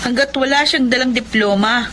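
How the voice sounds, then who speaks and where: An actress in a Filipino drama playing a caring older sister. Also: female voice, fairly high pitch, normal speed, noisy background, close to an everyday voice. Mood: informative. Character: caring, accepting older sister back home. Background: music.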